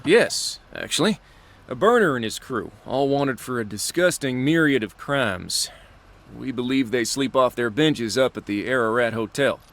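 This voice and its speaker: Lively folksy Southern frontier lawman. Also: medium pitch, moderate pace. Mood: businesslike, folksy. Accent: Southern or Western American. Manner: folksy, friendly, drawling cowboy talk. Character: upbeat, folksy frontier lawman handing out bounties. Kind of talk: scripted video game dialogue.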